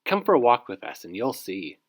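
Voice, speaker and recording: A man's voice: mid-range voice, wildly swooping pitch, brisk. Man as a friendly, easygoing young boy. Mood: cheerful.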